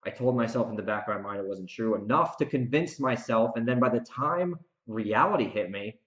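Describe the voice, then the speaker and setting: A man's voice: mid-range voice, lively intonation, brisk, fairly bright tone. An American man hosting a solo podcast, ranting casually and emphatically, speaking straight to the listener with strong opinions. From United States. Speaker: podcast host and author. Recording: dull, echoey room recording.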